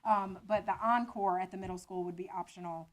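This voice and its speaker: A woman's voice: medium pitch, fairly even intonation, fairly quick. A woman who presented to a school board, answering its questions carefully and explaining as she goes.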